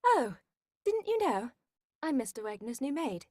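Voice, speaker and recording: An actress playing a smug, sly housemaid in 1940s radio horror style. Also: female voice, high-pitched, very animated, a little slow, dark tone, no room sound, studio quality, full character voice.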